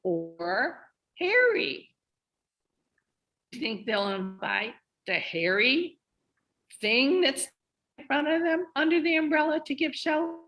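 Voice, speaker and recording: A woman co-presenting a children's read-aloud, thinking aloud and asking expressive questions. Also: sits high, slow, long pauses. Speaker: reading teacher, co-presenter. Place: online video session.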